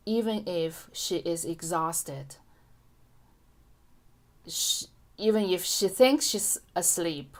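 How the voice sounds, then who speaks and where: A middle-aged woman hypnotherapist answering a listener's question in a calm, gentle way, with frequent ums and restarts. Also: fairly low pitch. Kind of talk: casual explanatory talk to camera.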